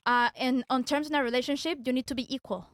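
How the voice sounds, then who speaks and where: Young Venezuelan woman, halting speech. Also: high-pitched, steady tone, no room sound. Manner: hesitant, searching for words, many restarts. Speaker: guest. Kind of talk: casual debate talk.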